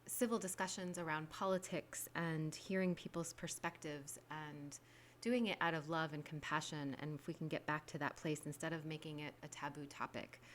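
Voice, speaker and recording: Young woman host, earnest measured talk, faint noise. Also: deep voice, fairly bright tone. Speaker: podcast co-host.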